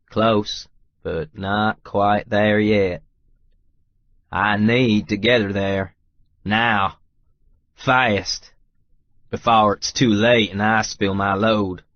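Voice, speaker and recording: Male romance audiobook narrator: low register, slow measured read in short phrases. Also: no room sound, highs cut off.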